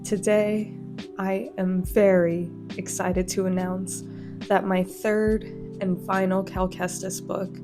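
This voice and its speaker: A woman with a slightly hoarse voice from a cold, making an earnest, heartfelt announcement to her channel's followers. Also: mid-range voice, level tone, slow. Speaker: channel host and fan fiction author. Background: plucked guitar music.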